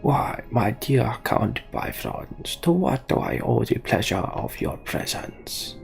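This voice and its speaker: Man doing a slow, gravelly, servile orc officer. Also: mid-range voice, wildly swooping pitch, faint music behind, heavily acted. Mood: oily, deferential. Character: servile, scheming orc officer reporting to his lord.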